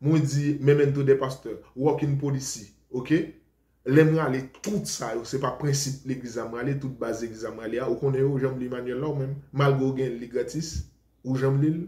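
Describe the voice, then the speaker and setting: A Haitian man speaks to his followers in a loose, rambling preaching style, defending himself and circling back over the same points. His voice sits in a middle pitch. The sound carries a roomy echo. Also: a little mellow. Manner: rambling, defensive, switches between Creole and French. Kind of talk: informal preaching talk to followers.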